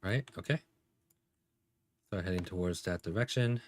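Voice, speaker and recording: A man's voice: deep voice, moderate pace, slight background noise. A man acting as game master, narrating a tabletop role-playing game casually in informal, improvised phrasing.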